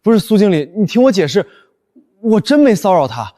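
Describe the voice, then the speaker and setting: An actor in his twenties playing a flustered, glib young office worker in an animated short drama, over-explaining in corporate buzzwords. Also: high-pitched, fairly quick, slight background noise. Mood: pleading. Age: 20s.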